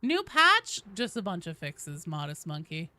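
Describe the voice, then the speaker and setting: Bright-voiced woman chatting on a livestream. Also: fairly low pitch, a little slow. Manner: animated, playful, conversational. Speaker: game livestream host. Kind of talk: casual livestream chat, reading and answering viewer messages.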